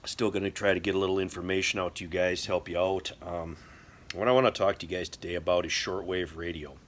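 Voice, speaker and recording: A middle-aged American man explaining things casually to camera in a halting, folksy way. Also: low voice, fairly even intonation. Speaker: prepper video host. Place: indoor workshop or garage.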